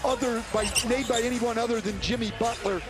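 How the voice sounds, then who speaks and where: Male color commentator with a high register, breaking down live basketball plays analytically. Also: fairly even intonation, a little fast.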